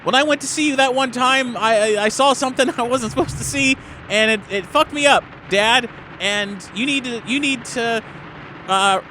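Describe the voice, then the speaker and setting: A man playing a defensive, pleading young aspiring actor in a tabletop game, halting and stammering. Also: very high-pitched, bright, forceful tone, a little hiss or hum, pitched above the performer's own voice, low-quality recording.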